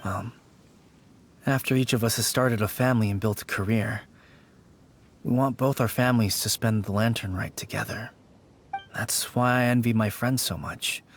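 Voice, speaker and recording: A man's voice: on the low side, steady tone, moderate pace, pauses often, slight background noise, close to an everyday voice. An actor playing a weary, grieving young man who speaks earnestly and wistfully. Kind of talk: game cutscene voice acting.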